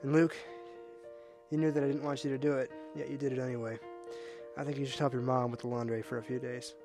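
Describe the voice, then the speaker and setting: A man playing a calm, gently teasing father in an amateur family audio drama. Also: medium pitch, fast talker, music in the background, natural voice. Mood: firm.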